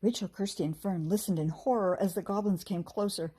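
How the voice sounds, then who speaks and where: An American woman, a teacher, reads a children's book aloud in an animated, expressive way, acting out the dialogue. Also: medium pitch, a little fast, husky. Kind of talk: expressive storybook narration.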